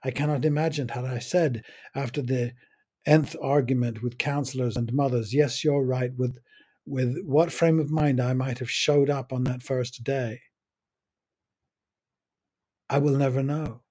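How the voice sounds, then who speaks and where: A man, reading a slow, reflective personal monologue as a podcast host. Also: mid-range voice, steady tone, mellow, breathy edge. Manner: measured, musing, with long pauses between short phrases.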